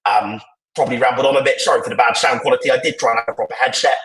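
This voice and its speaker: A man giving his views on a podcast, rambling and opinionated with lots of restarts, his voice a little croaky from tiredness, speaking into earbuds on a video call. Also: mid-range voice, fairly even intonation, rapid-fire, fairly bright tone. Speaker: podcast panelist. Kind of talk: casual podcast discussion. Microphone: AirPods on a video call. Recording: echoey, poor sound quality.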